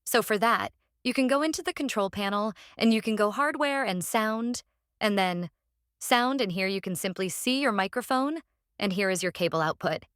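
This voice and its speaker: A woman narrating a casual software tutorial, explaining step by step in a halting way and repeating phrases. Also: mid-range voice, animated, smooth, dry recording, clean studio sound. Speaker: tutorial presenter.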